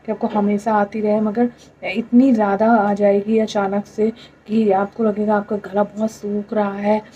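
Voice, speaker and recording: A young Indian woman vlogging to camera, hoarse from a throat infection, speaking in a tired, plaintive way and mixing in English words. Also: mid-range voice, little pitch movement, measured pace, mellow, lo-fi sound. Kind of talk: casual talk to camera.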